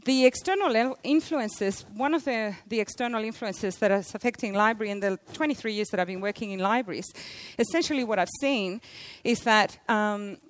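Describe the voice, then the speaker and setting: A middle-aged woman with a loud voice giving a conference presentation, hesitant, with many fillers and restarts. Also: medium pitch, a little fast, few pauses, low-quality recording. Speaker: conference speaker, library interior designer.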